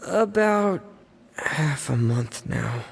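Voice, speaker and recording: A man's voice: a little high, big pitch swings, very slow, creaky, a little hiss or hum, exaggerated voice. Shy teen boy in a game, sincere and hesitant, music behind him. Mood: guarded, earnest. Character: shy, earnest teenage boy, quietly stubborn. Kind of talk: video game voice acting.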